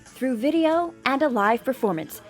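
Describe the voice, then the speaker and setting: A woman's voice: high voice, animated, moderate pace, music in the background. A woman delivering a scripted local news report as a field reporter.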